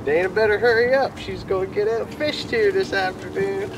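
A man's voice: high voice, steady tone, normal speed, noisy background. A middle-aged man talking casually and folksily outdoors as the host of a fishing vlog. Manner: folksy, informal. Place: outdoors on a waterfront fishing pier and bench. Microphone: camera a few metres away. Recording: distant outdoor camera sound under loud music.

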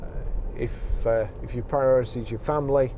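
A man's voice: mid-range voice, fairly even intonation, moderate pace, mellow, slight background noise. A middle-aged man, a car dealer, chatting casually while driving, rambling with many restarts. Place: inside a car on the road. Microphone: car-mounted camera.

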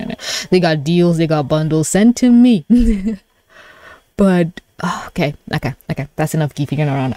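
A young adult woman giving a casual live reaction, gushing and exclaiming with excitement. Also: low voice, dry recording. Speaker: video creator reacting to a webcomic. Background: pop song playing.

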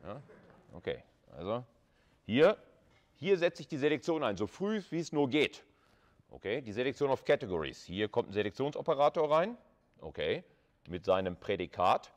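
Male lecturer, mid-pitched, conversational and informal, talking students through material with rhetorical questions. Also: sing-song, moderate pace, long pauses. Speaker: university lecturer. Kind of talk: live lecture explanation, addressing students. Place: classroom with chalkboard.

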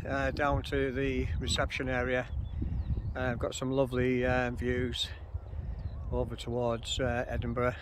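An older man chatting casually to his phone camera while walking along a campsite road outdoors, with relaxed, rambling delivery full of uh and um. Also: medium pitch, measured pace. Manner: relaxed, chatty, lots of uh and um fillers. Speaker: caravanning vlogger. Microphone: phone selfie camera at arm's length while walking. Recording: loud wind and outdoor noise on phone mic. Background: wind and rural outdoor ambience.

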